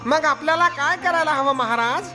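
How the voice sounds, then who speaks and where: A woman's voice: sits high, moderate pace, bright, forceful tone, faint music behind. A woman voicing an anxious monkey subject in a children's cartoon, pleading and deferential. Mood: worried.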